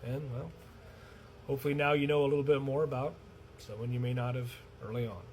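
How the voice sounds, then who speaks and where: A man's voice: mid-range voice, steady tone, brisk, darkish tone, slight background noise. A man hosting a casual educational video, explaining history in a chatty, wry way with frequent ums.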